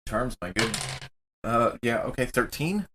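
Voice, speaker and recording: A man's voice: mid-range voice, animated, slow, fairly bright tone, a little hiss or hum. A man chatting casually as a player in a tabletop roleplaying game, improvising his moves.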